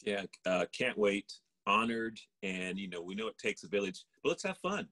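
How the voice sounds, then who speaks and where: A man's voice: fairly low pitch, lively intonation, normal speed, sharp tone, no echo. A man co-hosting a podcast as a running coach, giving casual motivational talk.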